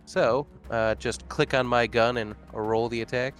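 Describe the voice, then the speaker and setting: A man's voice: medium pitch, steady tone, a little slow, faint music behind. A man chatting casually as a player in a tabletop game, talking through his moves.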